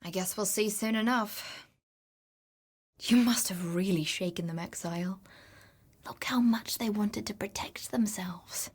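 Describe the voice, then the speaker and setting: A sardonic fantasy-game adventuress, speaks at a slow, deliberate pace in a mid-range voice. She is a treasure hunter with an eye for riches, dry and teasing, talking as if to a companion on the expedition. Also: medium pitch, husky. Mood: sober, troubled. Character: wry, greedy female treasure-hunting explorer in a fantasy game. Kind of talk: video game character voice lines.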